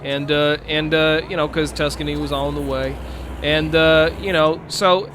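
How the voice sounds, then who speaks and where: Man putting on a high-voiced folksy trucker. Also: fairly even intonation, background noise, close to an everyday voice. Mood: folksy, animated. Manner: folksy, rambling, halting storyteller. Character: folksy male trucker telling tall road tales. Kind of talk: improvised trucker roleplay over CB radio.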